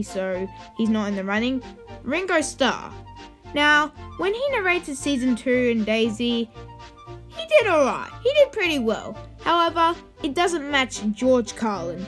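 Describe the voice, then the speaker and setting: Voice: medium pitch, a bit bright. A video host giving informal opinion commentary in a ranking video, with conversational asides.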